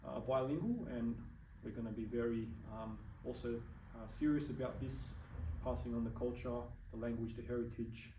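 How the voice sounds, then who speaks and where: A man's voice: medium pitch, steady tone, normal speed, reverberant, recorded from a distance, background noise. A young adult Australian man in an interview, speaking earnestly and measuredly, with frequent ums and restarts. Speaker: interview guest. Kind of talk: casual interview chat.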